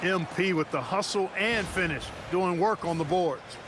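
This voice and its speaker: A man's voice: sits high, normal speed, close to an everyday voice. An American man giving opinionated, colloquial colour commentary for a basketball video game. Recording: loud arena crowd and noise. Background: loud crowd, bouncing basketball.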